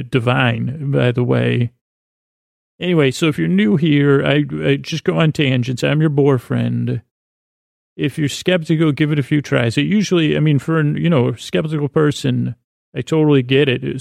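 A man hosting a podcast with a halting, meandering bedtime monologue in a creaky voice, often trailing off mid-thought. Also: medium pitch, darkish tone, no echo, clean studio sound. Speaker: podcast host. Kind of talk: rambling comedic bedtime monologue.

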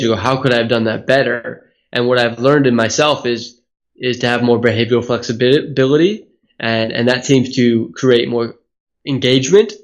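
Earnest man explaining at length. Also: medium pitch. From Hawaii. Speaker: guest, speaking coach. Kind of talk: reflective interview answers. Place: Australia.

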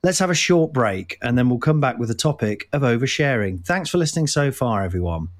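A man with a Nottingham accent chats loosely on a comedy podcast, sounding worn out. He rambles and mocks himself, his pitch sitting mid-range for a man. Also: lively intonation, brisk. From Nottingham, England. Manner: rambling, self-mocking, breaks into laughs. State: tired. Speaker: podcast host. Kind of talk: casual comedic chat.

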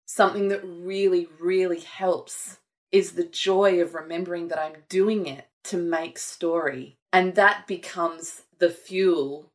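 Measured woman, low voice. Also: steady tone. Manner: thoughtful, measured, earnest. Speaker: author. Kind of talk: reflective conversation.

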